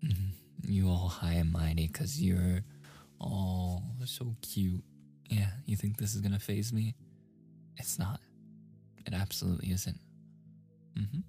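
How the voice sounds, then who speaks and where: Slow, stammering, flustered boyfriend, acted by a man. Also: low-pitched, steady tone, warm tone, soft background music. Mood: sulky, defiant. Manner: stammering, sighing, breathy asides, protesting. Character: flustered, submissive young boyfriend, pleading and bashful. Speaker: audio roleplay voice performer. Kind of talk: boyfriend ASMR roleplay, soft speaking and whispers.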